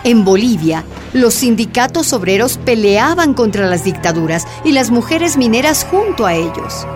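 A woman's voice: a little high, very animated, normal speed. A woman narrator reading scripted radio drama narration. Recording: music behind voice.

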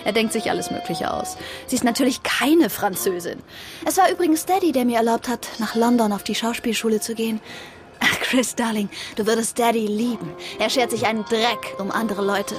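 High-voiced flighty young actress played by a woman. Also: brisk, close to an everyday voice. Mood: breezy, confiding. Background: music.

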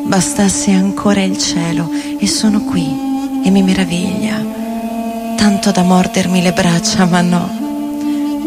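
A woman giving a theatrical recitation of poetry in deliberate, short phrases. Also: mid-range voice. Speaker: theatre reader and vocal performer. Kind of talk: poetic theatrical recitation over music. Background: experimental guitar and electronic loops.

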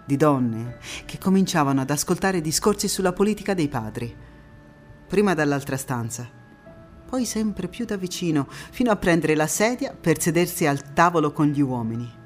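A woman playing a proud, defiant anti-fascist partisan who recalls her youth in a theatrical monologue, with measured phrasing and deliberate pauses. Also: low-pitched, animated, soft background music. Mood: proud, reflective. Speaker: stage actress in a one-woman monologue. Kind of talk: theatrical first-person storytelling monologue.

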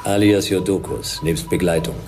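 A self-assured, sardonic detective, acted by a man, in a radio crime drama. The delivery is dry and measured, at an ordinary male pitch or a little under, with clear music behind it. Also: a little mellow, close to an everyday voice. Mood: cool, commanding.

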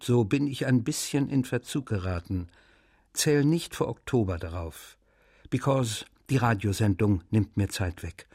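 An actor playing a wry, weary male writer reading his letters aloud in a radio feature, in a measured, unhurried manner. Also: on the low side, a little mellow, dry recording, studio quality.

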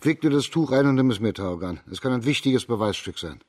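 An actor playing an authoritative, shrewd imperial magistrate, questioning deliberately in a German radio crime drama. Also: male voice, mid-range voice, expressive, darkish tone, lightly acted. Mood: pondering.

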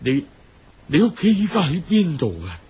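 A man voicing a kindly, emotional old uncle in Cantonese storytelling, sighing, halting and exclaiming as he speaks. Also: fairly high pitch, big pitch swings, breathy edge, phone-quality sound, voice pushed down, heavily acted. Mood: urgent questioning.

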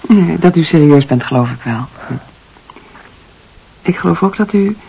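An actress playing a shy, modest, bewildered nurse in a Dutch radio play, speaking in a breathy, hesitant, halting way. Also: female voice, fairly low pitch, animated, lo-fi sound, exaggerated voice. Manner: hesitant, soft-spoken, halting.

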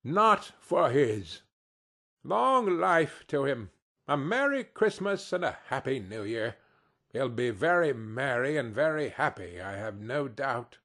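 A man's voice: big pitch swings, slow pace, bright, forceful tone, heavily acted. A man voicing an indignant mother who speaks in a raised, tart voice. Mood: sarcastic. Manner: raised pitch, tart and scornful. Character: indignant working-class mother, sharp-tongued.

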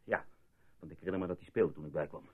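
An actor playing a distraught, sarcastic and accusing male patient in a radio drama, with a husky voice. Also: deep voice, fairly even intonation, fast talker. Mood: accusing, agitated. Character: distraught, defiant male patient who suspects a cover-up. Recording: muffled lo-fi old radio recording.

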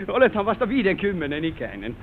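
High-voiced, eager young heir, tinny recording. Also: male voice, big pitch swings. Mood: hesitant, earnest. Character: eager, high-spirited young son of a manor family. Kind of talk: old radio drama acting. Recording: noisy, tinny old radio drama recording.

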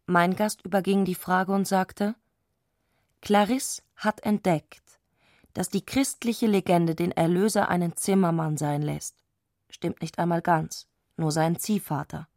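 A woman reading scripted literary narration for a radio play with measured, precise diction. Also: fairly low pitch, steady tone, a little mellow, dry recording, clean studio sound.

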